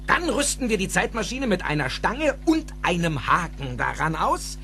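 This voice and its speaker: An actor playing an excitable, eccentric older male inventor who explains things theatrically and emphatically in a dubbed film drama. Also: high-pitched, normal speed, gravelly, a little hiss or hum, exaggerated voice. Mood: excited explaining. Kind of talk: dubbed film acting in a German audio drama.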